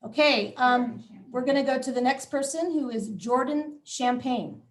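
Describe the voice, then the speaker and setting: A fairly high-voiced woman heard from some distance in a roomy, echoing space. She answers community questions in a measured, thinking-aloud way, full of ums and restarts. Her voice is a little worn, and she sounds like she is close to losing it. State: losing her voice, clearing throat. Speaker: school superintendent hosting a town hall.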